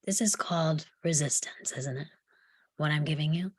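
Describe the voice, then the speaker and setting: Woman playing a low-voiced, defiant young patient. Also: moderate pace, slightly rough. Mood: provocative, guarded. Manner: guarded, challenging, dry. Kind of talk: screenplay table read.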